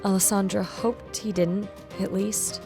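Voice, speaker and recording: A woman narrates a dramatic story in a scripted storytelling style. Her voice is of average pitch, with music clearly playing behind it. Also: fairly even intonation, a little slow.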